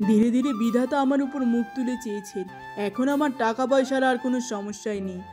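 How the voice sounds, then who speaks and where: A woman's voice: a little high, brisk, over music, natural voice. A woman voicing a confident, self-made young village businessman. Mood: proud.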